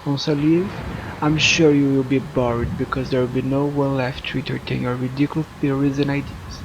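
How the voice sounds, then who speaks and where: A man playing a young man in a horror video game, reading notes and musing to himself in a deliberate way. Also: mid-range voice, flat delivery, lo-fi sound, noisy background, lightly acted. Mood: measured reading.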